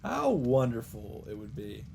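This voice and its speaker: A man's voice: medium pitch, wildly swooping pitch, unhurried, gravelly, darkish tone, a little hiss or hum. A man hosting a let's play, reading visual novel narration aloud and adding his own commentary. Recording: game music behind the voice.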